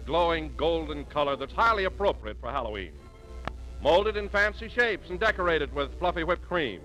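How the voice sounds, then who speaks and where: Male American announcer, forties radio ad read, bright and emphatic, high-pitched. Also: rough recording. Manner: polished, emphatic sales delivery. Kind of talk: 1940s radio commercial announcing.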